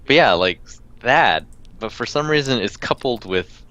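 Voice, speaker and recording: A man's voice: medium pitch, lively intonation, measured pace, bright, forceful tone, muffled recording. A man co-hosting a podcast, chatting casually about video games, rambling with frequent laughs. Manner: rambling, laughs often, many restarts. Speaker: podcast co-host. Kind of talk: casual gaming chat.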